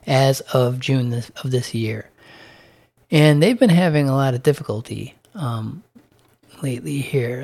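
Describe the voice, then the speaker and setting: Guy with a bit of a hoarse voice going over his dividend stocks, lots of ums and restarts, middle pitch. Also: a little mellow. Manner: halting, many ums and restarts, reads figures aloud. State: slightly hoarse. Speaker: dividend investing vlogger. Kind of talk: casual monthly portfolio recap.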